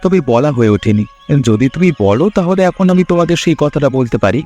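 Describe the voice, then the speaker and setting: Greedy village schemer played by a man, quick, insistent, needling, cartoon delivery over soft music. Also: a little high, animated. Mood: threatening. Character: greedy, pushy younger village schemer demanding his share. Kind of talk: cartoon fairy tale voice acting.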